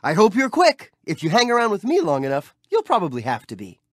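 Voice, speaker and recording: A cheerful young street-thief hero from a video game, chatty and playful as he cheers the player on. His voice swoops widely up and down with bright youthful bounce. It is recorded dry and close on a studio mic with no room sound. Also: high-pitched, wildly swooping pitch, a little fast, dry recording, heavily acted. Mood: upbeat. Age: young adult. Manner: friendly, playful, upbeat and encouraging. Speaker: game character.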